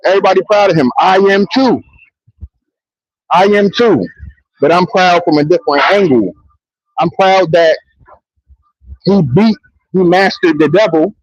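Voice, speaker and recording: A man's voice: high-pitched, unhurried, long pauses, slightly rough, no echo, lo-fi sound. A man talking into a handheld mic outdoors in public, in a loose, rambling and profane monologue that keeps circling back with "you know what I mean.". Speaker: vlogger. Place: outdoors in a public area near trains.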